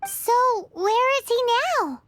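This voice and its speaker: A woman voicing a small, sassy, easily annoyed fairy companion girl in a video game, with a squeaky, childlike voice. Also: expressive, takes it slowly, a bit bright, dry recording, heavily acted. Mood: curious. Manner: cheeky, refers to self in third person. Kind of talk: animated video game voice acting.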